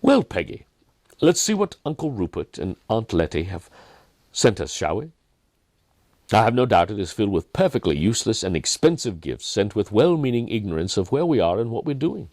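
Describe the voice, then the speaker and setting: A man voicing a kindly, earnest Outback miner in a storybook, with deliberate, emphatic phrasing. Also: fairly low pitch, sing-song, a little mellow, no echo, clean studio sound, pitched above the performer's own voice. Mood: wry amusement.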